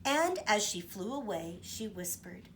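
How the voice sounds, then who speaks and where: A woman's voice: mid-range voice, big pitch swings, unhurried, a little hiss or hum. A middle-aged woman hosting children's storytime, reading a picture book aloud and chatting cheerfully with kids.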